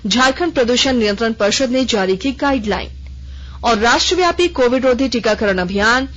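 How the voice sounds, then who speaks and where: Formal female radio newsreader, lo-fi. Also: fairly high pitch. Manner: measured, even formal delivery. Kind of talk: formal news bulletin reading. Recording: lo-fi radio broadcast.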